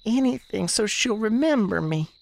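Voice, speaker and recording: A woman's voice: medium pitch, expressive, normal speed, creaky. A woman playing the restless, defiant ghost of a sixteen-year-old novice nun in a radio drama, earnest and plaintive, with folksy phrasing. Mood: pleading. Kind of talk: dramatic radio theatre dialogue.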